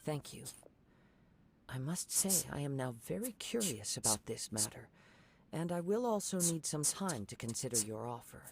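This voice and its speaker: A man voices a reserved young samurai with a gravelly edge to his voice. He speaks formally, calmly and politely. Background noise sits clearly under his lines. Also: mid-range voice, natural voice. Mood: courteous. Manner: calm, formal, measured. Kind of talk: video game dialogue.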